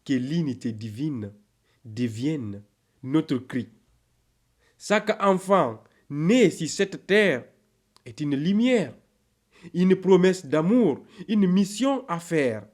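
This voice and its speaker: Very slow, solemn man reciting poetry. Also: on the high side, animated, a little mellow. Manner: declamatory, measured, with deliberate pauses between phrases. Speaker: poem narrator.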